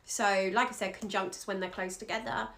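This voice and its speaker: A woman's voice: medium pitch, fairly even intonation, a little fast, fairly bright tone. A Scottish woman, an astrologer, giving an earnest, encouraging chart reading in loose conversational speech.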